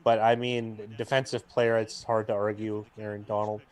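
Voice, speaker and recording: A young man with a booming voice, co-hosting a live football watch stream in rambling casual chat, mic clipped to his jacket. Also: little pitch movement, moderate pace, darkish tone. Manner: rambling, talkative, full of restarts and fillers. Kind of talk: casual chat and game commentary. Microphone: jacket used as mic mount.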